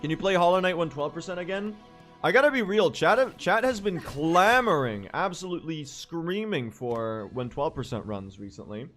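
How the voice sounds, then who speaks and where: A young man streaming speedruns, talking casually and excitably to his chat with a hoarse, worn-out voice and lots of rambling restarts. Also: on the high side, normal speed, soft background music. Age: young adult. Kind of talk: casual livestream chat to viewers.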